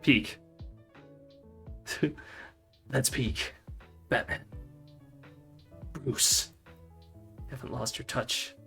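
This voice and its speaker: A man's voice: mid-range voice, drawn-out words, pauses often, bright, forceful tone, soft background music. A man hosting a casual stream, rambling and laughing while he works on a 3D model, with casual swearing. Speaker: streamer and podcast host.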